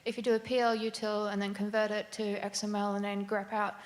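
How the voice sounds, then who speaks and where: Flat-voiced woman giving a quick tip. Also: mid-range voice. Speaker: audience member. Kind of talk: technical tip.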